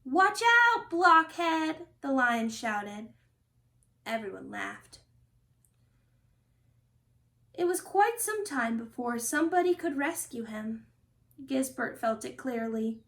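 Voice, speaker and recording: Woman reading a kids storybook out loud, high voice, takes her time. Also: animated, measured pace, vocal fry. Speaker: storybook reader. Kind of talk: scripted children's story narration, read aloud.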